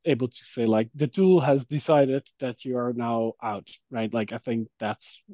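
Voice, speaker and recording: A man's voice: medium pitch, measured pace, dark tone, dry recording. A man in a casual work call discussion, talking informally and thinking aloud as he explains his view. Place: online call. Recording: dry, dull call audio.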